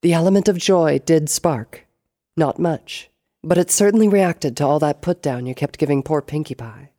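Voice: medium pitch, normal speed, warm tone, dry recording, clean studio sound, pitched above the performer's own voice, close to an everyday voice. Narrator voicing a sly, smug villainess. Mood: smug, approving. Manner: teasing, coolly amused.

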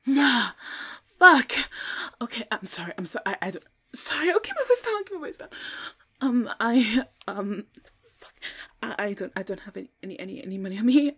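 A woman acting a foul-mouthed young woman in an audio drama, speaking in a halting, informal way with breathy murmurs. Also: medium pitch, pushed and bright, no room sound, studio quality. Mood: frightened, pleading. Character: foul-mouthed young woman, casual with friends, pleading when threatened. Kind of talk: solo audio roleplay drama, one side of dialogue.

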